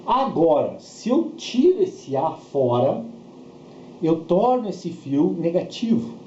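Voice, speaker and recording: Man, Brazilian accent, language teacher, soft dark tone, repetitive didactic delivery, echoey room, muffled audio. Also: on the high side, big pitch swings, slow pace. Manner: didactic, often repeats and checks with short tag questions. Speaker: English teacher. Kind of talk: bilingual grammar lesson. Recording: dull, roomy sound with faint noise.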